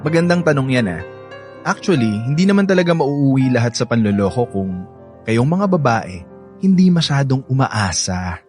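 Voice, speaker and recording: Cocky Filipino playboy played by an actor, animated pitch. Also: male voice, moderate pace, faint music behind, close to an everyday voice. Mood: smug, argumentative. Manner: boastful, teasing, code-switches into English. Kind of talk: Filipino radio drama acting.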